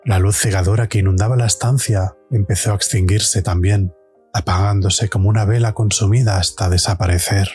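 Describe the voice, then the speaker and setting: Guy doing a battered hero narrating his ordeal, dark soft-edged low voice, audio drama. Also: steady tone, brisk, slightly rough, faint music behind. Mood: relieved, drained. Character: suffering young male hero surviving a supernatural trial. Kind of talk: first-person dramatic narration in audio fiction.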